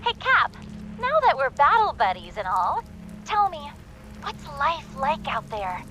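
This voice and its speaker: Chirpy high-voiced quirky sidekick. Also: female voice, huge pitch swings, measured pace, pushed and bright, soft background music, full character voice, low-quality recording. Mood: playful. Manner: playful, chatty. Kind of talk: video game character acting.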